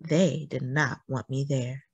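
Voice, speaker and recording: A woman reading a children's book aloud in an expressive storytelling style, with deliberate phrasing and emphasis. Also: very deep voice, gravelly, husky, no room sound, highs cut off.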